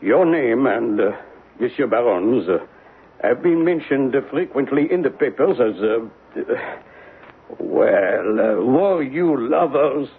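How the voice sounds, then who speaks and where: A man playing a grave, formal police inspector questioning deliberately, in old-time radio drama style. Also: mid-range voice, expressive, breathy edge, exaggerated voice. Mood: probing. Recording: muffled phone-like old radio broadcast.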